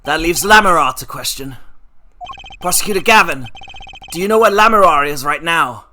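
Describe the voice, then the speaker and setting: A hoarse woman voicing an earnest young male defense attorney, loud and questioning. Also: sits low, expressive, normal speed, slight background noise. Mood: eager.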